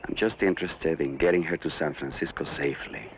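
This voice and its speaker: An actor playing a calm, guarded gunman in an old western, measured and understated. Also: a bass voice, gravelly. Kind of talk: old western film dialogue. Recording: dull, noisy outdoor film audio.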